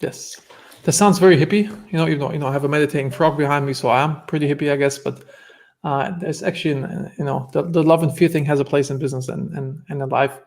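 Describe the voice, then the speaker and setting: A man's voice: on the high side, fairly even intonation, fast talker, darkish tone. A man with a German accent, a guest entrepreneur telling stories in an earnest, reflective way during a casual interview.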